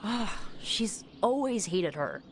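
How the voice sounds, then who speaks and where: A woman's voice: mid-range voice, big pitch swings, slow, a little hiss or hum. An actress playing a defiant, confident young witch in a video game, impassioned and colloquial with a sarcastic edge. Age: young adult. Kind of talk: dramatic video game acting.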